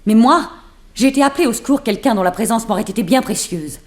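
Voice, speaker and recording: A woman's voice: a little high, animated, quick pace, lightly acted. An actress playing a guarded, defiant undercover woman posing as a housemaid in a 1960s French radio drama, terse and intense.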